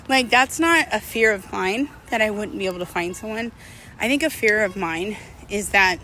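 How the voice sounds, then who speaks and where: A woman's voice: mid-range voice, a bit bright, a little hiss or hum, poor audio. A woman in her late thirties giving a casual, confessional monologue, reflective and candid, talking through her thoughts in a self-questioning, conversational way. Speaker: vlogger sharing personal relationship advice.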